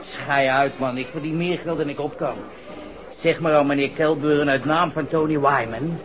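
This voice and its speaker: An actor playing a brash, cocky young playboy with slangy, boastful talk in a 1960s radio drama. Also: male voice, a little high, fairly even intonation, normal speed, crowd noise, natural voice. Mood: dismissive. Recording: muffled vintage radio recording, music behind.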